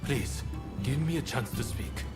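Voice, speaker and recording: An actor playing an honorable samurai in a game cutscene, solemn, formal and earnest in manner. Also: male voice, medium pitch, measured pace, loud music behind the voice, natural voice. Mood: earnest, grave. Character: solemn, honorable samurai man, loyal and resigned. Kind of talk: dramatic game cutscene acting.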